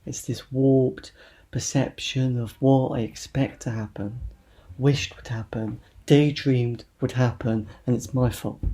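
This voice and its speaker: A young man with a very dark, mellow voice at a middle pitch performs spoken-word poetry. His delivery is confessional and expressive, like a personal reading. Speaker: poet.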